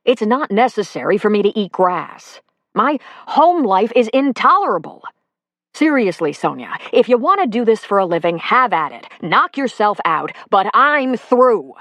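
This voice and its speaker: A woman's voice: mid-range voice, big pitch swings, moderate pace, fairly bright tone, no echo, pitched above the performer's own voice. A woman reading the part of a fed-up middle-aged husband who is walking out, blunt and exasperated, swearing.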